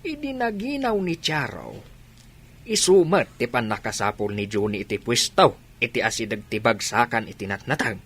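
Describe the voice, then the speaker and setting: Older male actor as family man, husky voice, mid pitch with lively swings, everyday drama talk. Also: moderate pace, heavily acted. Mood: conversational, earnest. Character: older father chatting about family and business.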